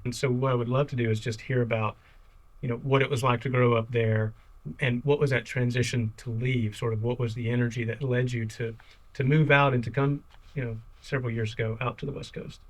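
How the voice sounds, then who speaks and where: A man at a middle pitch hosts a casual interview. He backs up his guest with agreement and asks long, rambling questions. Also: little pitch movement, fairly quick, rough recording. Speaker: podcast host.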